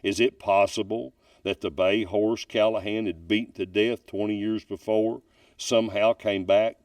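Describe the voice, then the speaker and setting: A man reading a ghost story aloud from a book as a storyteller, with deliberate, dramatic emphasis. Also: lowish voice, fairly even intonation, darkish tone, no room sound, far from the mic. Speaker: narrator reading ghost stories.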